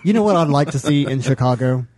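A man's voice: mid-range voice, lively intonation, fast talker, a little mellow. A man co-hosting a casual podcast, playful and wisecracking, laughing often. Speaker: podcast co-host.

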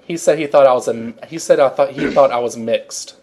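A man's voice: medium pitch, a little mellow. A man co-hosting a casual podcast, talking in a giggly, campy way and drawing out his words. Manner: giggly, campy, drawn-out delivery. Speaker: podcast co-host. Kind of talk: casual banter.

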